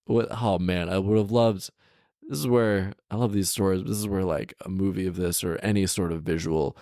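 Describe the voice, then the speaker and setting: Male story podcast host, low register, dry close recording, loose sarcastic ad-libs around the reading. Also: fairly even intonation, normal speed, warm tone, no echo. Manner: informal, sarcastic asides. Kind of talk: story reading with comedic ad-libbed commentary.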